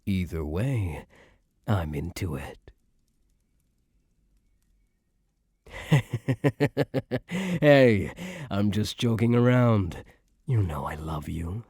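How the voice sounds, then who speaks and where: A man playing a cocky young mafia heir who is a doting but menacing boyfriend, in an intimate ASMR roleplay with slow, smug tough-guy slang. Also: sits low, expressive, darkish tone, breathy edge, no echo, exaggerated voice. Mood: teasing, affectionate.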